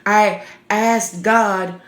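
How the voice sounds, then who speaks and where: An actress playing a grieving, haunted mother in a halting, weighty dramatic stage monologue. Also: medium pitch, steady tone, reverberant. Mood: haunted, mournful. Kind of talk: stage monologue performed on video.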